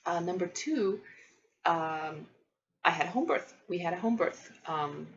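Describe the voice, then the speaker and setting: A woman in her thirties, a native Polish speaker, talks to camera in a lowish voice with a gravelly edge. She speaks thoughtfully, with frequent ums and restarts, on a dull lo-fi home recording. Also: expressive, measured pace. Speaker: parenting vlogger. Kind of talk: casual vlog talk to camera.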